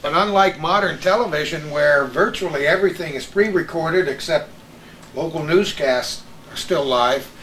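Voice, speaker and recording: A man's voice: on the high side, moderate pace, a bit bright. An older man, a former radio announcer, reminiscing in a panel chat. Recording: roomy, distant microphone, faint noise.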